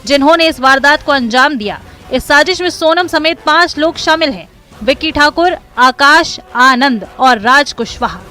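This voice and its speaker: High, bright woman narrating crime news. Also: expressive, normal speed, soft background music. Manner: dramatic, emphatic. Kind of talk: dramatic scripted crime report narration.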